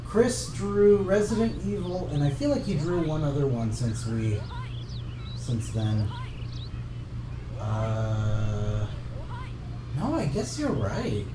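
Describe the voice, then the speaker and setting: A man's voice: on the high side, sing-song, slow pace, pauses often. A man co-hosting a game stream, giving brief casual replies. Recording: roomy with noticeable noise.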